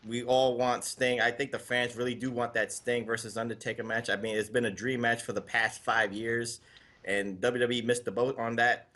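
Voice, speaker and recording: A man's voice: mid-range voice, level tone, normal speed, bright, forceful tone. A man on a casual wrestling talk panel, speaking with enthusiasm about dream matches.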